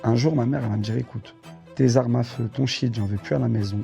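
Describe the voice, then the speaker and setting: Guy in his 30s, super gravelly dark voice, lots of uh and restarts, interview guest. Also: medium pitch, fairly even intonation, faint music behind. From Dreux, France. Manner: halting, many fillers and restarts. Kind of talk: candid life-story interview.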